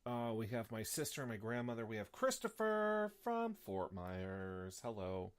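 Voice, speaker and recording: A man's voice: fairly low pitch, sing-song, unhurried, clear, resonant tone. Sniffly man hosting a casual live chat. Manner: rambling, many fillers and restarts. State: sniffly with a slight cough. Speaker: host.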